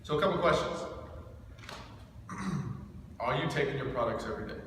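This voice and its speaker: A man's voice: mid-range voice, expressive, takes it slowly, fairly bright tone. A man in his thirties leads an informal team training with intense, direct emphasis. He pushes his points with rhetorical questions. The sound is very echoey and distant, with a long reverb. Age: thirty-seven. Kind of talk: informal motivational training talk to a group. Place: echoing indoor room with a small group. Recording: echoey room recorded from far off, faint noise.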